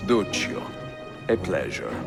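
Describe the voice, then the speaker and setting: An actor playing a courteous, wry middle-aged Italian gentleman adventurer in a video game, speaking in a measured way with an Italian accent. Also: low-pitched, very animated, vocal fry, music in the background, exaggerated voice. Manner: measured, courteous, dryly witty. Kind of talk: video game cutscene acting.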